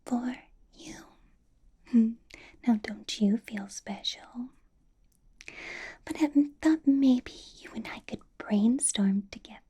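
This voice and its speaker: Breathy whispering vampire boss played by a woman. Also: fairly high pitch, full character voice. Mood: flirty. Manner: slow, coaxing, soft giggly delivery. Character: possessive, doting female vampire boss, sweetly sinister. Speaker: ASMR roleplay performer. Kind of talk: whispered ASMR roleplay, personal attention.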